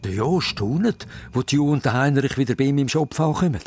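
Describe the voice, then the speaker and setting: Animated Swiss German male narrator. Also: mid-range voice, fairly quick. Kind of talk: animated children's story narration. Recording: music behind the voice.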